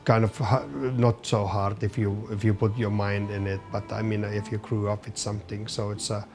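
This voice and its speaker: A middle-aged man from Finland with a Finnish accent, a chef answering interview questions in halting English, searching for words as he goes. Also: fairly low pitch, flat delivery, a little mellow, faint music behind. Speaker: chef and business owner.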